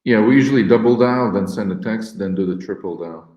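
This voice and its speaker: A man's voice: fairly low pitch, a little fast, darkish tone, reverberant, lo-fi sound. A man hosting a casual livestream, talking loosely and swearing freely in an upbeat sales manner. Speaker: lead service host. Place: in Ukraine.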